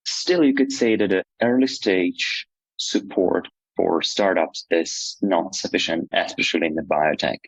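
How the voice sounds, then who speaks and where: A young man from Slovakia answering interview questions in an earnest, explanatory way, pausing now and then to search for words. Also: deep voice, dry recording, muffled recording. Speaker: PhD student and nonprofit co-director.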